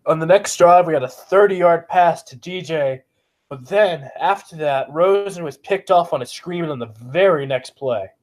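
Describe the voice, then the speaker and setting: A man's voice: fairly high pitch, moderate pace. Man with a cold reciting football stats. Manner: profane, blunt, recites stats. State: has a cold, sniffling. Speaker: podcast co-host. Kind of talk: casual sports chat.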